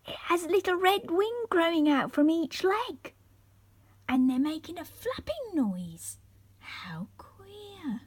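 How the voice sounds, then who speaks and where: A woman's voice: very high-pitched, sing-song, slow, no room sound, voice pushed up, full character voice. A woman voicing a timid, wide-eyed young girl in a children's storybook, with childlike expression. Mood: amazed.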